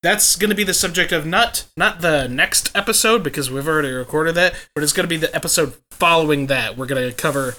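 A man co-hosting a casual podcast, sounding stuffy from allergies and chatting in a relaxed way. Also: on the high side, brisk, few pauses. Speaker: podcast co-host. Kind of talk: casual chat.

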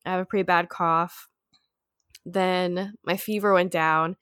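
A young woman from the United States with a congested, nasal voice, short of breath, talking slowly and quietly in a casual chat. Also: lowish voice, fairly even intonation, clear, resonant tone, no room sound, clean studio sound. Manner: talks slower and quieter, winded. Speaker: sick friend.

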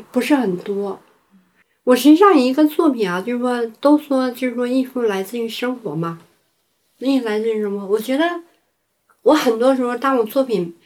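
A woman artist from China talking unscripted in an interview, halting and repeating herself as she thinks aloud. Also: on the high side, darkish tone.

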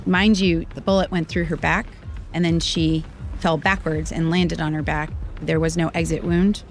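A woman's voice: sits low, little pitch movement, moderate pace, soft background music. A woman, a nurse, recounting a frightening event in an interview, telling it vividly with quoted dialogue. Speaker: nurse and witness.

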